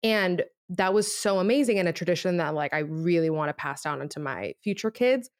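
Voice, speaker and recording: A woman's voice: fairly low pitch, animated, fairly quick, no echo, clean studio sound. A woman co-hosting a podcast, casually telling personal anecdotes in informal, conversational speech. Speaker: podcast co-host.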